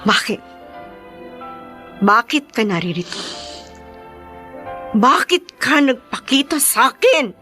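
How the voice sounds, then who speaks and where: A woman's voice: fairly high pitch, very animated, slow, long pauses, pushed and bright, faint music behind, heavily acted. A woman with a Filipino accent playing a hurt, guarded daughter in her twenties in a Tagalog radio drama. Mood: shocked, upset. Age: 20s.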